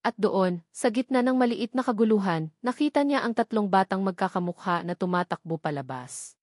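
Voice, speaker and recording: A woman's voice: mid-range voice, animated, moderate pace, darkish tone, no room sound, clean studio sound. A woman with a Filipino accent narrating a scripted dramatic love story as an audio drama.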